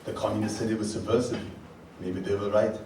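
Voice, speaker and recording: A man's voice: fairly low pitch, level tone, brisk, natural voice. A man playing a stoic ex-soldier and protective father in a comedic stage radio play. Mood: deadpan. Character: stoic ex-Special Forces commando and protective father. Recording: very echoey distant hall, loud noise.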